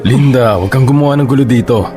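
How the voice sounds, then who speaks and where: A man with a Filipino accent playing an apologetic, peacemaking middle-aged father in a radio drama, measured and conciliatory. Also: mid-range voice, music in the background, close to an everyday voice.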